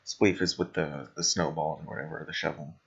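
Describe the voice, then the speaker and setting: A young man co-hosting a podcast in blunt, rambling, sweary casual chat, with a slightly raspy voice. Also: rumbling low, fairly even intonation, a little fast, dull audio. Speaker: podcast co-host.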